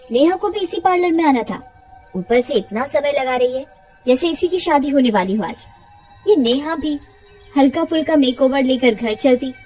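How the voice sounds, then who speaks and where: A woman's voice: high-pitched, a little mellow, rough recording. A woman voicing an irritable young woman who grumbles, in an audio story.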